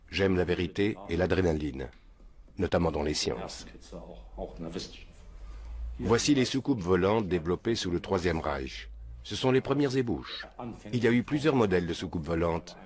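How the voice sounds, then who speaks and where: A man's voice: low voice, lively intonation, moderate pace, pauses often, darkish tone. A man answering interview questions in a matter-of-fact, assured way.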